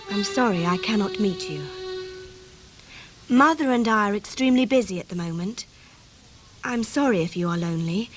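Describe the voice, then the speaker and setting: An actress playing a cold, reproachful eighteen-year-old daughter, reading a letter aloud formally and curtly in a radio play. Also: female voice, fairly high pitch, close to an everyday voice, poor audio. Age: young adult. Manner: formal, curt, cool. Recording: music behind the voice.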